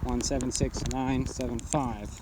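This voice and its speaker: A man's voice: mid-range voice, fairly even intonation, unhurried, very noisy. A man talking casually and off the cuff as he demonstrates radio gear, rambling but blunt and matter-of-fact. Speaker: amateur radio hobbyist reviewing gear. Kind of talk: casual unscripted product demonstration.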